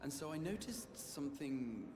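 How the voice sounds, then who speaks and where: Game scholar: earnest, precise, lively intonation, higher voice, long hall reverb. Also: male voice, noisy background. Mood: thoughtful. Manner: articulate, formal, deliberate. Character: earnest, scholarly young man, measured and precise. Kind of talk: video game cutscene acting. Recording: echoey hall reverb, music underneath.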